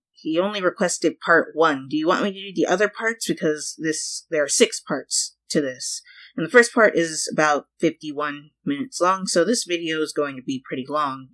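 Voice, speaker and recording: A man's voice: on the high side, fairly bright tone, rough recording. A man hosting a reaction video, giving casual commentary and short interjections.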